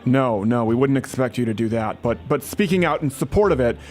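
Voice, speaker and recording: A man's voice: medium pitch, animated, brisk, a little mellow, faint music behind. A man making a prank phone call as an earnest-sounding aid worker, pushing persistently. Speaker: prank caller.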